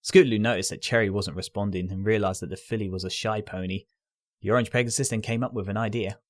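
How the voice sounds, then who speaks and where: A man's voice: on the low side, steady tone, quick pace. A man reading scripted story narration as the narrator. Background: music.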